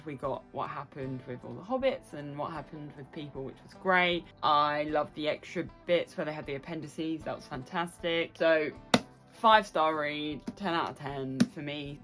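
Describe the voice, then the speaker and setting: A woman's voice: sits low, a bit bright. A woman giving a casual, chatty review to camera as a vlogger, gushing and emphatic, often repeating phrases for emphasis.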